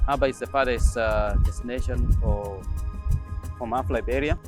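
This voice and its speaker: A man's voice: mid-range voice, fairly even intonation, moderate pace, over music. A male pilot speaking plainly, with some hesitation, in a documentary interview.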